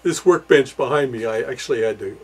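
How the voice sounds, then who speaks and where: An older man with a relaxed, mellow voice at a middle pitch. He talks casually as a hobbyist showing off his workshop, easygoing and rambling, often hesitating as he goes. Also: brisk, breathy edge. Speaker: ham radio hobbyist, video host. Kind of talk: casual workshop show-and-tell. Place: cluttered indoor basement workshop.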